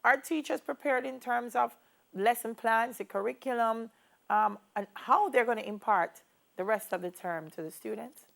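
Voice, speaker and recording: Creaky-voiced woman co-host. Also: fairly high pitch, moderate pace, fairly bright tone. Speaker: TV morning show co-host. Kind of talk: conversational interviewing.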